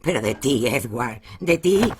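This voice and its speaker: A woman's voice: extremely low pitch, animated, unhurried, background noise. An actress with a Castilian Spanish accent playing a domineering, fanatically religious farm mother in an audio drama, stern and accusing. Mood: furious, accusing.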